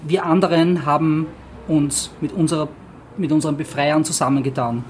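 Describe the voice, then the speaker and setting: Man, slightly high, hesitant. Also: fairly even intonation, normal speed, a little hiss or hum. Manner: hesitant, many fillers when speaking freely. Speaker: book presenter. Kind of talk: casual introduction and alternating reading aloud.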